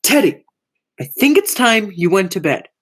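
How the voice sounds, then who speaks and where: A woman's voice: medium pitch, sing-song, normal speed. A young woman in an amateur radio play, playing a sinister, domineering criminal man with a commanding, insinuating manner. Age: young adult.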